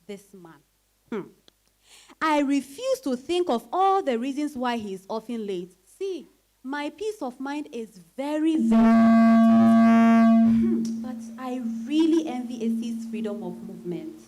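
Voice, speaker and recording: A woman performing an outspoken, teasing married friend in a dramatized reading, animated and exclamatory. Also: fairly high pitch, unhurried. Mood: suspicious, resigned.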